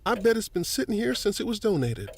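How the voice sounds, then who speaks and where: A folksy, middle-aged local history volunteer in a family audio drama. His voice sits high and swings with animated, avuncular explanation. Faint noise lies behind it. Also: fast. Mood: intrigued, chatty. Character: friendly, folksy older male museum volunteer and newspaper editor.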